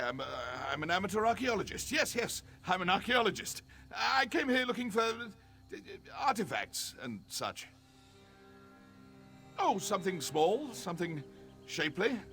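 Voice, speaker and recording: A flustered, excitable amateur archaeologist. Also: male voice, a little high. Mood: flustered, evasive. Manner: stammering, excitable, evasive, eager. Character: nervous, lecherous, obsessive amateur archaeologist man. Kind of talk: video game character acting.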